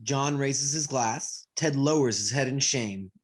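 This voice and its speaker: A man's voice: medium pitch, a little slow. A man reading stage directions as scripted narration for a play. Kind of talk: scripted narration in present tense. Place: remote video call.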